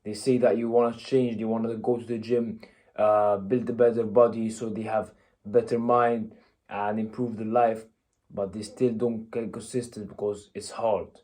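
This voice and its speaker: A man's voice: lowish voice, flat delivery, normal speed, a little mellow. A young man from Egypt giving a blunt, casual motivational talk, peppering it with "you know" and "yeah?". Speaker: motivational vlogger.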